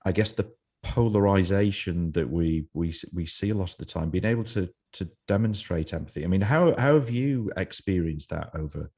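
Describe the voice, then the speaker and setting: A man's voice: sits low, expressive, normal speed, mellow, slightly rough. A man hosting a podcast, talking reflectively in a casual interview, often repeating words as he thinks. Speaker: podcast host.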